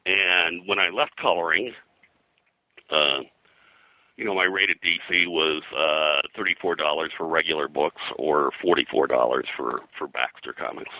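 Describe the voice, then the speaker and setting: An older American man reminiscing as an interview guest, in a halting, conversational way full of verbal filler. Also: extremely low pitch, pushed and bright, dry recording, low-quality recording. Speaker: interview guest, veteran comics colorist.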